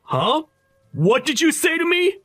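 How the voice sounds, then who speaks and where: A shrill, sneering young bully of a boss, as a man puts him on, his voice shooting high and swinging wildly as he hurls insults. The sound is bone-dry and close-miked, with no room at all. He is loud, contemptuous and full of himself. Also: huge pitch swings, moderate pace, no room sound, faint music behind, full character voice. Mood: furious. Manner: yelling insults. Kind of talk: voiced story drama.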